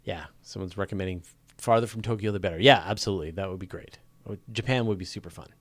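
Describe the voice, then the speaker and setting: A man with a fairly low voice hosts a casual live Q&A, speaking to viewers in an informal, conversational manner with a joking streak. The sound is dry and free of room echo. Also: quick pace. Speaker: host of a live space questions show.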